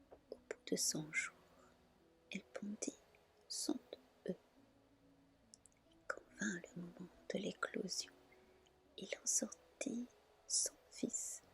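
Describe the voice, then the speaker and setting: Woman, whispered ASMR storytelling, very slow pace, soft gentle delivery. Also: mid-range voice, vocal fry. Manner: soft, gentle, unhurried narration. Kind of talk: whispered ASMR reading of a fairy tale.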